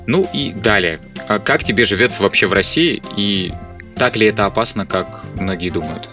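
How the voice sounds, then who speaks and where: A man's voice: fairly low pitch, moderate pace, pushed and bright, soft background music. A male radio host asking questions and teasing his guest with jokes. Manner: joking, teasing. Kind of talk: radio interview, casual.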